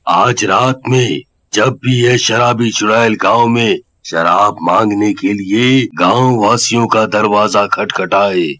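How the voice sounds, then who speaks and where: Low, slow village holy man played by a man. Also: a bit bright. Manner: measured, authoritative. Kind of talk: cartoon story acting.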